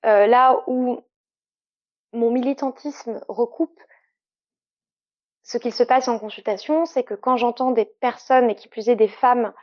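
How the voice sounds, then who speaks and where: Higher-voiced woman, muffled dry sound. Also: steady tone, unhurried, pauses often, a little mellow. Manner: earnest, explanatory, frequent fillers. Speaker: sexologist guest. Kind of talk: casual interview conversation.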